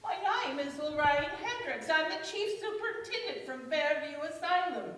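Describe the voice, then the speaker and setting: A woman's voice: high-pitched, expressive, normal speed, fairly bright tone. A woman playing a firm, scheming female accomplice in a staged radio play, speaking with insistent command. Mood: calm, authoritative. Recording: very echoey, distant stage mic.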